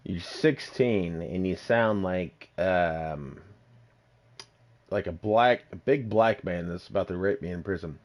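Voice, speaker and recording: A man's voice: deep voice, takes it slowly. A man hosting a podcast in loose, profane casual talk, laughing easily. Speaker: podcast host.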